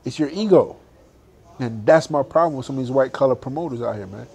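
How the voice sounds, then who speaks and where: A man's voice: mid-range voice, lively intonation, brisk, gravelly, a little mellow, slightly rough, dull audio. A young adult man, a boxer, talking animatedly and emphatically in a casual interview, laughing often.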